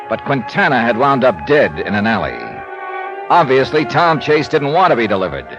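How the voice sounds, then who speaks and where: An American actor playing a world-weary, wry insurance investigator in a 1950s radio drama, speaking tersely. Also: male voice, mid-range voice, highs cut off, close to an everyday voice. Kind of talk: 1950s radio drama acting, hard-boiled narration. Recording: old radio recording with music.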